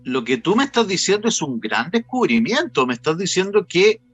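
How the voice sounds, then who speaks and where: A man's voice: high voice, sing-song, normal speed, fairly bright tone, slightly rough, lo-fi sound. A Chilean man working as an interview host, asking questions in a casual conversational way. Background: faint music.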